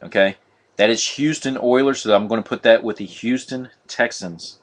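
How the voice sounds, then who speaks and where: A man hosting a live card break on a stream, talking viewers through each pull in a flat, monotone way. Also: mid-range voice, normal speed. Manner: monotone, says so himself; chatty, addresses viewers as guys. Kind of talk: casual live-stream commentary.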